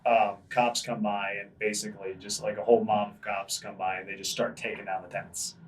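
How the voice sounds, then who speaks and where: Young male student co-host, halting storyteller, lowish voice, distant mic with faint background noise. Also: flat delivery. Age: young adult. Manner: halting, many "um" and "you know". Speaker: podcast co-host, university student. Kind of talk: casual chat.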